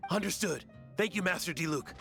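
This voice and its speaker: An excitable young-sounding guard, earnest, deferential, video game, music underneath. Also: male voice, sits high, a little fast, creaky. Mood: dutiful. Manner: respectful, eager, exclaiming. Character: earnest, excitable city guard reporting a theft. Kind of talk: video game cutscene acting.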